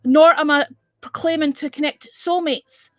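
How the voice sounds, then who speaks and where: A woman's voice: high voice, steady tone, moderate pace, no room sound, clean studio sound. A woman from Edinburgh with a Scottish accent, hosting a casual live stream and chatting bluntly and exasperatedly with viewers, swearing freely. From Scotland. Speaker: livestream host.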